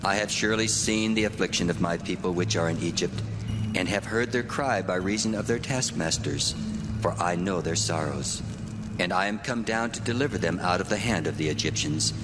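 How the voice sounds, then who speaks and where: An actor playing God in a dramatized scripture reading, speaking in a solemn, measured way. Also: male voice, low voice, lightly acted. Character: God, deep commanding divine male voice. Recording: long echoing reverb with music.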